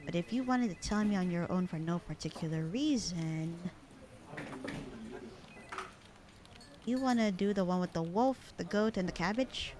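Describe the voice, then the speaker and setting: A woman playing a bored, sarcastic, slightly flirty secretary in a casual ASMR audio roleplay, with a dry, deadpan, teasing delivery. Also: on the low side, fairly quick, noisy background, lightly acted. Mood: awkward, flirty. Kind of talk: ASMR roleplay acting, casual one-sided conversation.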